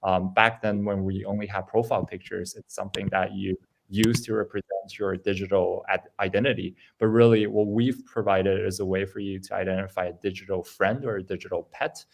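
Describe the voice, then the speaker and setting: Deep gravelly man on a video call. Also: flat delivery, poor audio. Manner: loose, halting, with many ums and restarts. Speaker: game studio presenter. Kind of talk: casual online presentation.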